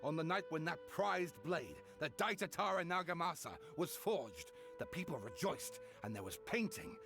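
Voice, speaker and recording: A man's voice: fairly high pitch, lively intonation, moderate pace, vocal fry, music in the background. A man with a British accent playing a theatrical novelist who declaims a tragic tale in a video game. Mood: solemn, dramatic. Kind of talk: dramatic game storytelling. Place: studio voice recording.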